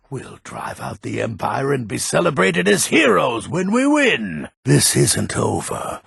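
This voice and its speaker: An actor playing a grumpy, world-weary old male assassin in a video game, with a gravelly, raspy voice and a scornful, sardonic, grumbling delivery. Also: medium pitch, a bit bright, dry recording, studio quality, full character voice. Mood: fierce. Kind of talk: video game character dialogue.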